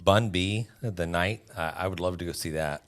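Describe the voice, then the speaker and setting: A man with an extremely deep voice takes part in a casual podcast conversation. He chimes in with short, informal remarks as a co-host. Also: normal speed. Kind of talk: casual chat, brief interjections.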